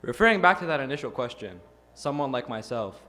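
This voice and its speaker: Young guy from iraq giving a youth talk, earnest and measured, middle pitch. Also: sing-song, bright, forceful tone. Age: young adult. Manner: measured, earnest delivery with rhetorical questions. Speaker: youth speaker. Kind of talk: prepared speech.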